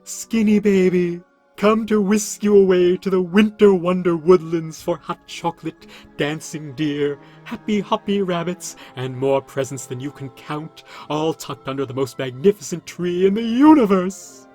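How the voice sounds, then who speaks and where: A man's voice: high-pitched, measured pace, dark tone, soft background music, voice pushed up. A man voicing a gleefully sinister children's TV creature in a horror story, with a theatrical, mock-sweet showman delivery. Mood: gleeful, grandiose. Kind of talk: horror story character reading.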